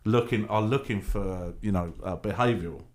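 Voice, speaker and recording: A man's voice: lowish voice, normal speed. A man with an English accent, co-hosting a podcast and chatting casually with guests. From England. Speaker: podcast co-host. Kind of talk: casual interview chat.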